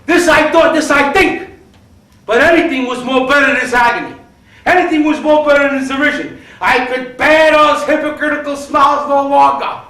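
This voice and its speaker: An intense murderer, echoing and distant. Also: male voice, sits high, lively intonation, slow, pauses often, full character voice. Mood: frantic, shrieking. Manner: intense, melodramatic, swinging from hushed to shrieking. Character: guilt-maddened male murderer, feigning calm then frantic. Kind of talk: theatrical dramatic monologue of a classic horror tale. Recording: roomy, distant mic, faint noise.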